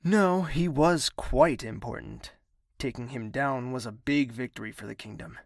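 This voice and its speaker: An actor playing a bitter, menacing young prince in an audio drama, speaking in a formal, commanding, deliberate way. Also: male voice, medium pitch, creaky, no room sound, studio quality. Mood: proud, bitter. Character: bitter young male prince, self-declared villain, imperious and menacing.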